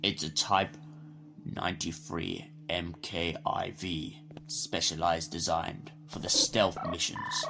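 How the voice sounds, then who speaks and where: A man playing a dry, imperious Lord President of the Time Lords in an audio drama, formal, measured and patronising. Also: deep voice. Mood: matter-of-fact.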